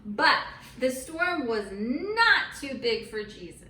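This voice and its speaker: Woman, children's Bible teacher, fairly high pitch, encouraging, dramatic storytelling emphasis, roomy distant recording. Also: big pitch swings, slow pace, sharp tone. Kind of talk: animated storytelling and chat with children. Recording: roomy, distant mic, faint noise.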